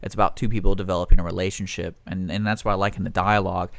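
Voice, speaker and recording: A man's voice: low voice, flat delivery, rapid-fire, vocal fry, a bit bright, no room sound. A man co-hosting a radio show, bantering casually with a wry, teasing manner. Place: community radio studio.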